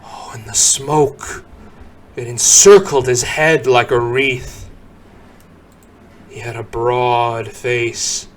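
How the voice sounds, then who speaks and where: Slow, theatrical man reciting poetry. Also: a little hiss or hum. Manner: theatrical, swinging from hushed breathy murmur to loud booming exclamation. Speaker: poem reader.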